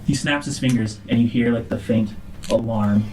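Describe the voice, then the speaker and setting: A man's voice: mid-range voice, normal speed, darkish tone, slight background noise, rough recording. A man narrating a tabletop role-playing game as the game master.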